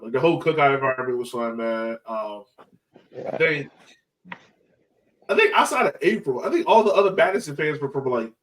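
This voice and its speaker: A higher-voiced man hosts a casual sports fan podcast, sitting slightly back from the mic. He talks in excited slang, curses freely and repeats his phrases for emphasis. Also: far from the mic, rough recording. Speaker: podcast host.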